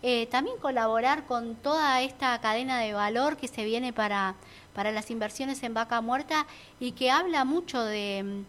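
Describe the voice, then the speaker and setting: A woman's voice: fairly high pitch, moderate pace, fairly bright tone, a little hiss or hum. A woman with an Argentine Spanish accent, an entrepreneur chatting casually on a talk show, reflective and full of fillers and restarts. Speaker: entrepreneur guest. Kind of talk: casual radio chat.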